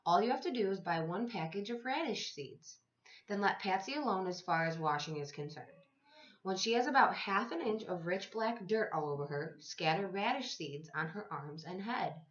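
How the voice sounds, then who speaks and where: A woman's voice: medium pitch, expressive, normal speed, natural voice. A woman voicing a knowing, unflappable storybook woman who gives instructions matter-of-factly. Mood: calm advising. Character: knowing, unflappable woman who cures children's bad habits. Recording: echoey room, slightly dull sound.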